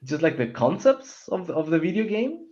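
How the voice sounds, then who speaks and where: Animated, stammering man on muffled audio. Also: sits high, normal speed. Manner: rambling, stammering, restarts sentences often. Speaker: panelist on a film discussion show. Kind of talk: casual opinionated panel chat.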